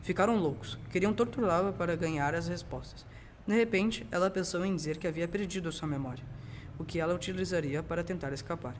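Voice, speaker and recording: High-voiced young teenage boy narrating. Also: fairly quick. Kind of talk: scripted radio drama narration. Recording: noisy amateur school recording.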